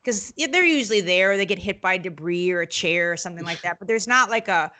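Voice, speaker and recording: Woman, podcast guest, bright tense voice, blunt, animated storytelling, lots of fillers. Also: fairly low pitch, quick pace. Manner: animated storytelling, acts out quotes in other voices; frequent fillers and restarts, blunt and outspoken. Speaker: podcast guest, former wrestling interviewer and manager. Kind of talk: casual podcast interview.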